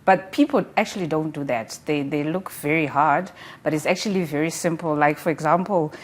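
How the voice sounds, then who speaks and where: A woman's voice: low voice, fairly quick. A woman reviewing a book on a studio talk show, earnest and encouraging, with many casual fillers.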